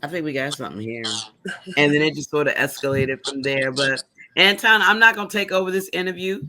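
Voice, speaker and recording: A man's voice: fairly high pitch, a little fast, sharp tone, a little hiss or hum. A man hosting an interview in a chatty, affirming way, often backing up the guest. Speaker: interview host. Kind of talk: casual interview chat.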